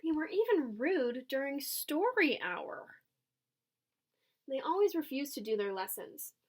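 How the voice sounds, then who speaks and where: A woman reading a children's storybook aloud with expressive narration. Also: high voice, a little slow. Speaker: storybook reader.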